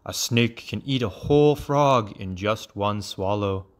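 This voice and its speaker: A man's voice: on the low side, unhurried, lightly acted. A man voicing a father frog in a storybook, earnestly cautioning his young and pleading in danger.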